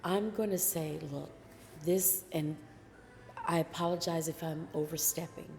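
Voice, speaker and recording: A woman co-hosting a casual talk show about dating. She has a soft voice and gives measured, thoughtful advice. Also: low voice, a little hiss or hum. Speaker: podcast co-host. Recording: long reverb.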